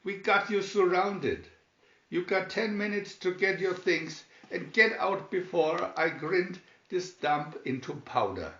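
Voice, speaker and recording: A ruthless storybook tycoon acted out by a man reading aloud, loud and commanding, pitched up into a bellow. The recording is dull and echoes around the room. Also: slow, heavily acted. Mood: threatening. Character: commanding, ruthless tycoon villain barking threats through a bullhorn. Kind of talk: storybook character voice.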